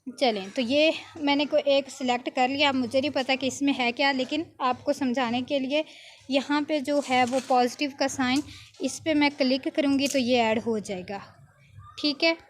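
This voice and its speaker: A woman giving an informal software tutorial, explaining step by step with frequent check-in tags. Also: sits high, steady tone, moderate pace, slight background noise. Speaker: video editing instructor.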